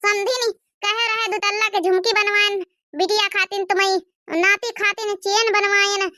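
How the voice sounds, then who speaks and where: Casting: woman playing humble village granny for cartoon comedy, Awadhi accent, extremely high bright voice, affectionate. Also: level tone, moderate pace, no echo, studio quality. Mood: humble, heartfelt. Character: humble, poor grandmother, affectionate and self-respecting mother-in-law.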